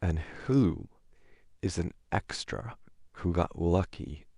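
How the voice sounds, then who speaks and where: A man with a low, creaky voice plays an awkward, amiable boyfriend in an ASMR roleplay. He talks casually and hesitantly at an unhurried pace, with a self-deprecating manner. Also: expressive, dark tone, no room sound, clean studio sound.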